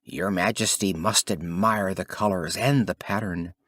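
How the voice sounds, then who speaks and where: A man voicing fawning royal courtiers who flatter with exaggerated, gushing admiration in a storybook reading. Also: low voice, unhurried, no echo, studio quality. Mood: gushing, admiring.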